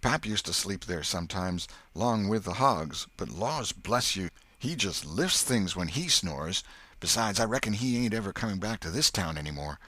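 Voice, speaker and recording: A man's voice: lowish voice, expressive, normal speed, pushed and bright, no room sound, voice pushed up. A man voicing a wary, gloomy country boy with a drawling rural Southern American dialect in an audiobook. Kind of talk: audiobook character acting.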